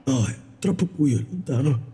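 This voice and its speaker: A man's voice: mid-range voice, expressive, normal speed, dark tone, natural voice. A man playing a frightened woodcutter who has been bitten, in a radio drama. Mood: weak. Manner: fearful, pleading. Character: male woodcutter, bitten and sickening. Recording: long hall reverb.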